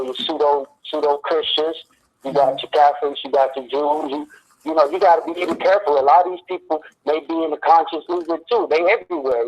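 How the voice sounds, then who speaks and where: Guy calling in, preachy rambling, kinda high, dull phone sound. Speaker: caller. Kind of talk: phone-in monologue.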